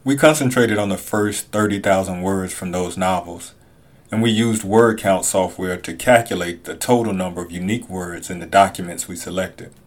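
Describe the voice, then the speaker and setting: A man's voice: deep voice, moderate pace. A man, a scholar and podcast writer, giving scripted explanatory narration.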